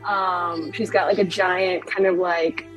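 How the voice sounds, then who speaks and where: A woman playing a tabletop game, animated and given to putting on character voices. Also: fairly low pitch, slow pace, soft background music, poor audio. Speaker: player. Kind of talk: casual tabletop play.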